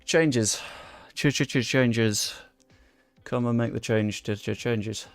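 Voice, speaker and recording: Chatty man with a gravelly voice. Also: medium pitch, extremely slow, no room sound. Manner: chatty, swears under his breath, talks to viewers and chat. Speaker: gaming YouTuber. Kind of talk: casual live commentary over a football management game. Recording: dry close mic with game music behind.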